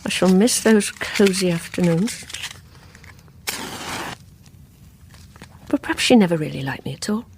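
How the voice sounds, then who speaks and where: An actress playing a bitter, vengeful confined woman confiding in her doctor in a radio play monologue, brooding and wryly resentful, with a soft, breathy voice. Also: lowish voice, expressive, fairly quick, noisy background. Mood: wounded, threatening.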